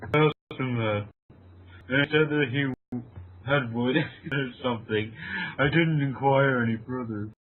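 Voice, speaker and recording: A man's voice: medium pitch, measured pace, close to an everyday voice. A man playing a sensible, doubtful friend in an amateur comedic audio drama. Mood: matter-of-fact, doubtful. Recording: tinny phone-like audio, faint noise.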